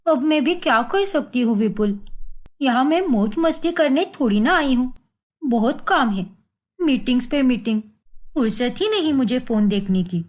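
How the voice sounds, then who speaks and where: Woman playing a sneaky young wife in a cartoon, high bright voice, theatrical, swings up and down a lot. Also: expressive, normal speed. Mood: smooth-talking. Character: young wife, scheming and sharp-tongued.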